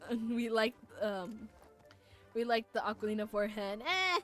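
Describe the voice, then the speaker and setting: A woman's voice: on the high side, lively intonation, moderate pace, fairly bright tone. A woman livestreaming a game in casual chat, playful and easily flustered, stammering through banter. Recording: music playing behind the voice. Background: music.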